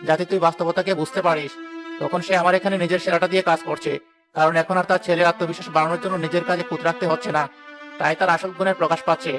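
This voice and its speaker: A man's voice: fairly high pitch, level tone, very fast, lightly acted. A man playing a frank, wise village uncle who speaks earnestly in a moral-story cartoon. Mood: reproachful. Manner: earnest, admonishing. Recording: instrumental music under the voice.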